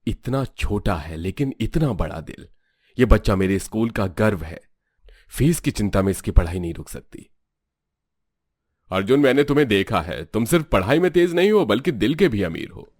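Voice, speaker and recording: A man's voice: on the low side, sing-song, normal speed, darkish tone, no room sound, studio quality. A man playing a kindly, encouraging school principal with reassuring authority in a storybook drama. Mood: admiring. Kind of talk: storybook audio drama acting.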